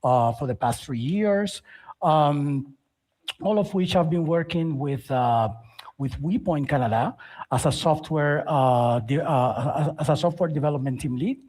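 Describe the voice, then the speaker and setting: A man's voice: on the high side, darkish tone. A middle-aged man speaks. He presents to a tech audience informally, feeling his way through sentences and doubling back on words. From Dominican Republic. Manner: halting, repeats words and phrases. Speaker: conference speaker, software developer.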